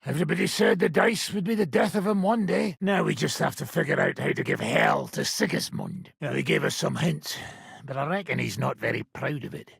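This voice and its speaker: Gravelly old robber baron. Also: male voice, fairly high pitch, big pitch swings, brisk, fairly bright tone, dry recording, studio quality, exaggerated voice. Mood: gruff, matter-of-fact. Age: older. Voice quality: rough, raspy, gravelly. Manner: blunt, profane, sardonic. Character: foul-mouthed old wanted robber baron, gruff war-band leader. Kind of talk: video game character dialogue.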